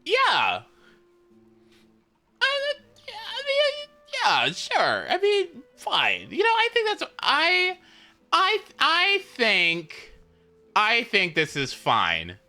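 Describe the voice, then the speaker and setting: A man chatting casually as he streams a video game, often leaping into high, squeaky exclamations. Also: huge pitch swings, extremely slow, sharp tone, soft background music. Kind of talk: casual gaming stream chat.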